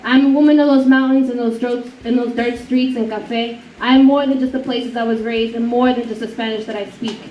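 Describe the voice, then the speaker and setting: A woman's voice: a little high, fairly even intonation, brisk, few pauses. Woman, twenties, earnest spoken-word reading; roomy, dull recording with some noise. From Honduran-born, raised in the United States. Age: 20s. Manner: earnest recitation with Spanish words mixed in. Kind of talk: reading a personal poem to an audience. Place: indoor fundraiser event room. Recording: echoey room, distant dull mic, noisy.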